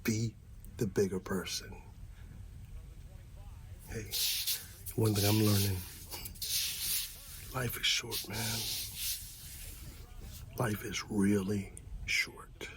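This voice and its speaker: A man speaking in a soft, half-whispered ASMR roleplay style as a gently encouraging friend, leaving long pauses. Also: on the low side, little pitch movement, background noise.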